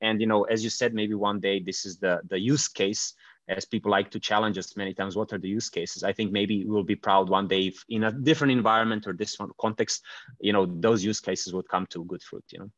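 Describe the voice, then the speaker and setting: Male guest from Croatia, rapid delivery full of restarts; muffled, dry remote recording. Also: on the low side, steady tone, dry recording. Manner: fast, hesitant, many restarts and fillers. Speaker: crypto company founder, guest. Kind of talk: conversational interview answers.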